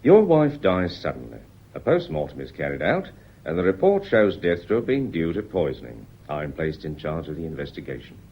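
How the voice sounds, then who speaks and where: An actor playing a shrewd police inspector in a radio drama, speaking deliberately and courteously while he probes. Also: low voice, big pitch swings, husky, heavily acted. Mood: firm, insistent. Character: shrewd, politely relentless male police inspector. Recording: dull, muffled old radio recording.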